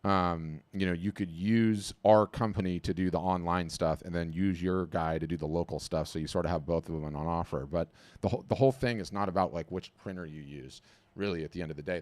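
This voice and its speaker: A man's voice: sits low, fairly quick, darkish tone, dry recording. A middle-aged man hosting a live business webinar, talking emphatically and hammering points home with repetition. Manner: emphatic, preachy, repeats phrases for punch.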